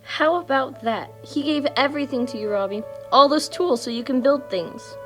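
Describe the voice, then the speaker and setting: A woman's voice: high voice, moderate pace, a bit bright, soft background music. A woman playing a tender, reassuring mother of a young boy in a children's puppet show. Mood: pleasantly surprised.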